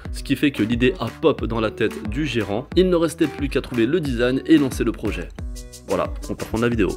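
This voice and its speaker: A man's voice: medium pitch, expressive, brisk, darkish tone. A man doing a casual vlog voice-over, with slangy, joking asides. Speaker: vlogger host. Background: music.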